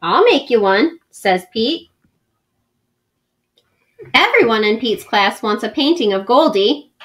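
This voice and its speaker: A woman reading a picture book aloud to children from home, animated and gentle, pausing to ask her young listeners questions. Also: on the high side. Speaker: school librarian reading aloud. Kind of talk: children's read-aloud storytelling.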